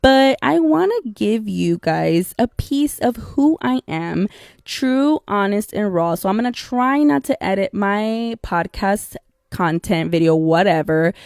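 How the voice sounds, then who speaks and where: Chatty woman with a gravelly voice. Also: medium pitch, darkish tone, no echo, clean studio sound. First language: Spanish. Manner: candid, chatty, addresses listeners as y'all. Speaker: podcast co-host. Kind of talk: casual solo podcast talk.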